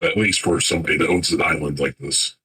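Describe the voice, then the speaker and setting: Deep, very husky male gamer. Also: steady tone, quick pace, sharp tone. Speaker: tabletop game player. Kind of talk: casual game chat.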